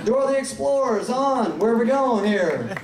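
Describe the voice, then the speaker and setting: A man with a Southern Tennessee accent voicing his newborn baby girl, who chatters and shouts demands like a bossy toddler. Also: normal speed. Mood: excited. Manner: high, shouted, excited. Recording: echoey hall, distant mic, room noise.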